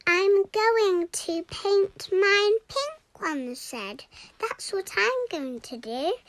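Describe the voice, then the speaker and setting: A young girl reading a rhyming children's storybook aloud in a deliberate, expressive way. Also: extremely high pitch, no room sound, poor audio. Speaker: story reader.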